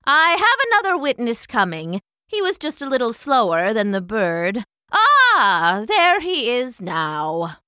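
Woman acting an old commanding hornet, bright and expressive. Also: fairly high pitch, takes it slowly, clear, resonant tone, no room sound, studio quality, exaggerated voice. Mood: patient, questioning. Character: old male hornet judge, patient, commanding peacemaker.

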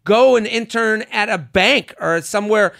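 A man's voice: sits high, moderate pace, gravelly, a bit bright, no echo, studio quality. A man hosting a podcast, reading listener emails aloud and giving crude, joking advice in casual chat. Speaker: podcast host.

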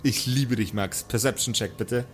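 A man's voice: medium pitch, moderate pace. Man game-mastering over background music. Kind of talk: improvised tabletop game narration and banter.

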